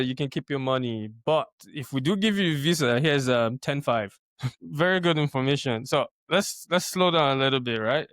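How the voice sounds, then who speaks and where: A male podcast host reacts with animated surprise and builds long, meandering questions. His pitch is middling. The recording is close and dry. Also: clear, resonant tone, no room sound. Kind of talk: casual podcast interview.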